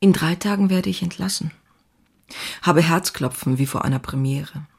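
An actress playing a passionate, anxious woman writer in her thirties, reading her diary in an intimate, confessional way with a husky, breathy voice. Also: low-pitched, moderate pace, a little mellow, no room sound, full character voice. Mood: restless, hopeful. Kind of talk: dramatic literary diary reading.

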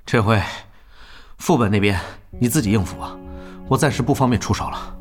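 Guy doing young scheming pro gamer, proud, deliberate, audio drama, music behind. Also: on the low side, natural voice. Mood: cool, calculating.

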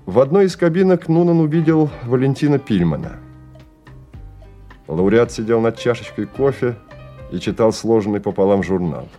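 An actor playing a measured male storyteller, narrating a radio drama in a scripted dramatic style. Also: medium pitch, lively intonation, warm tone, natural voice. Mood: measured, wry. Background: music.

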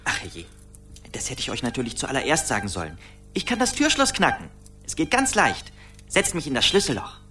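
An actress playing a small, cheeky, resourceful male earwig, brisk and expressive, in a radio play. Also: very deep voice, soft background music, heavily acted. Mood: conspiratorial, cocky. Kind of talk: German radio play acting.

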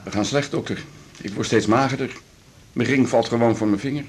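A man's voice: medium pitch, brisk, slight background noise, lightly acted. An actor playing a worried, plain-spoken male patient of about fifty in a radio drama, hesitant and embarrassed. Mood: downcast, deferential. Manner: hesitant, stammering, embarrassed, colloquial. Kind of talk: broadcast radio drama acting.

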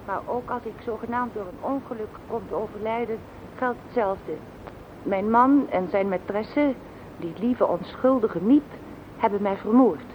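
An actress playing a suspicious, bitter wife who voices her letter in a measured, accusing way in a radio play. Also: close to an everyday voice. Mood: bitter, grave. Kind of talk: Dutch radio play monologue. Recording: slightly dull old recording, faint rumble.

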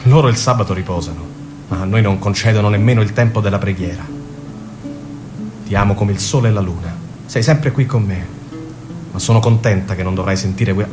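A man's voice: lowish voice, natural voice. An actor playing a lovesick young Gazan man, reading out love letters in a tender, intimate way in a radio drama. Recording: guitar music under the voice.

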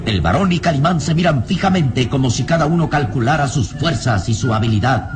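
A man's voice: medium pitch, animated, a little fast, background noise. A man narrating a radio serial in a dramatic, solemn, suspenseful scripted style.

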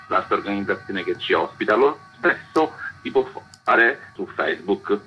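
Over a dull, lo-fi recording with music behind, a man explains things as an interviewed festival curator. His voice is rather low. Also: expressive, moderate pace, husky.

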